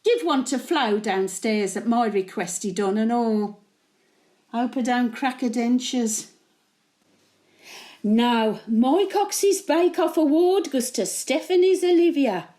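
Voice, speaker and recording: Woman acting a high-voiced elderly Brummie widow. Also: slow, warm tone. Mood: fond, gossipy. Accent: Birmingham. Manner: rambling, colloquial, confiding. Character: chatty, opinionated elderly working-class Brummie widow, tender and grumbling. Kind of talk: dramatic monologue in character, addressed to an absent husband.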